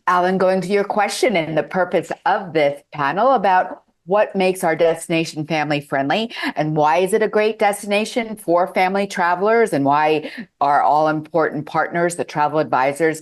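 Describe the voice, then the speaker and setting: A woman with a New Jersey accent presenting a tourism destination, upbeat and chatty, with fillers. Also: sits low. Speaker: tourism representative. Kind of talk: promotional panel presentation.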